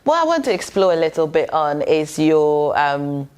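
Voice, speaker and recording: A woman's voice: low voice, sing-song, moderate pace, a little mellow, clean tone. A woman from northern Ghana hosting an interview, asking encouraging questions with frequent murmurs of agreement. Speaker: interview host. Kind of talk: casual interview.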